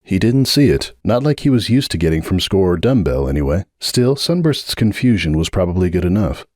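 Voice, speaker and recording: Expressive male audiobook narrator. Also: sits low, brisk, no room sound, clean studio sound. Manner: expressive storytelling.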